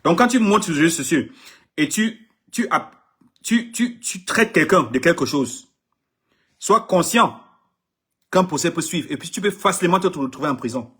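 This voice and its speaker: A husky, slightly high-voiced middle-aged man from Cameroon, native English speaker, delivering an impassioned address in French. He stresses his points, repeats phrases and trips over words as he defends his artist. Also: lively intonation, normal speed, pauses often, a bit bright. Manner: emphatic, repeats himself, stumbles over words. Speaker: artist manager.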